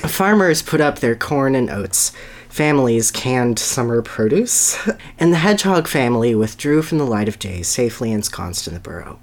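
Expressive podcast reader with very lively pitch. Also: normal speed. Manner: amused, breaking into asides. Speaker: podcast co-host. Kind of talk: dramatic reading of a children's story.

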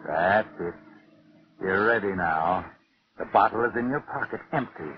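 An actor playing a sinister, insinuating narrator who taunts a would-be killer in dramatic 1940s radio mystery style. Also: male voice, fairly low pitch, breathy edge. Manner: dramatic, taunting, deliberate second-person storytelling. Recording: dull, noisy 1940s radio recording.

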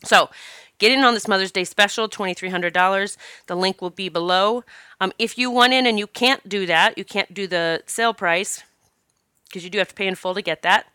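Stuffy-nosed lady hosting a podcast, bright clear voice, chatty and upbeat, dry close sound. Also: mid-range voice, brisk, no room sound. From Northeast Pennsylvania. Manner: chatty, encouraging, with fillers. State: congested from allergies. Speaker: podcast host. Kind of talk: casual podcast talk.

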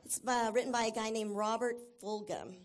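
A woman giving a casual, enthusiastic talk to a church congregation, encouraging and emphatic, often laughing and sometimes getting loud. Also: fairly high pitch, fairly even intonation, fairly quick, a little hiss or hum.

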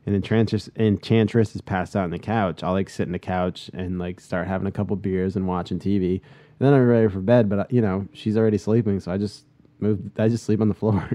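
A man's voice: on the low side, steady tone, fairly quick, mellow, no echo. A man in his thirties with a nasal voice, stuffed up with a cold, bantering casually as a podcast host. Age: 30s. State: congested with a cold.

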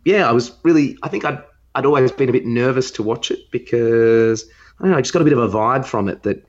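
A man's voice: mid-range voice, fast, dull audio. A man hosting a podcast, talking casually about films and often repeating words as he searches for the next one. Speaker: podcast host. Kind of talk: casual film discussion.

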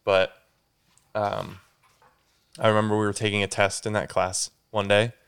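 A man's voice: sits low, creaky, clean tone. Congested young man rambling on a podcast. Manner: rambling, self-correcting, says "dude" a lot. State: stuffy and congested. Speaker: podcast host. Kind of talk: casual solo podcast chat.

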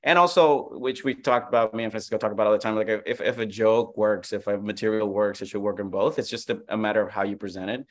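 A man rattles along quickly in a casual podcast chat. His voice is fairly low, and his thoughts spill out in long runs full of fillers. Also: fairly even intonation. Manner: fast, rambling, many fillers. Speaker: comedian guest.

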